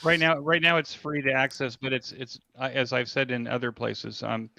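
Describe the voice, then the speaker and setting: A man casually explaining and demonstrating something as an interview guest on a video call, in a halting way with repeated phrases. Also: mid-range voice, level tone, creaky, pushed and bright, a little hiss or hum. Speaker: event organizer guest demonstrating a platform. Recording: slightly dull, band-limited audio.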